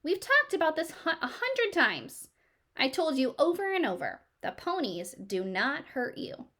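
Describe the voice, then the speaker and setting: A woman's voice: high-pitched, very animated, a little slow, a bit bright, pitched above the performer's own voice, full character voice. A woman voicing a mother in a children's storybook, easily exasperated and emphatic.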